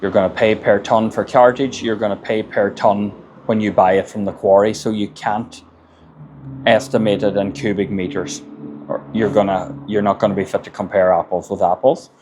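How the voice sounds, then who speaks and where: A man's voice: lowish voice, level tone, moderate pace, noisy background. An Irish man, a construction business owner, gives plain-spoken advice in a casual monologue and often repeats words as he gathers his thoughts. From Ireland.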